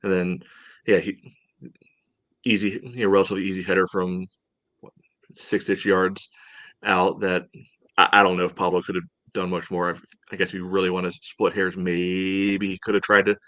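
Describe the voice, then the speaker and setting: A man hosting a soccer podcast, chatting casually through match analysis in a halting way full of filler phrases. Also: sits low, flat delivery, a bit bright, no echo, highs cut off. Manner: halting, peppered with "you know".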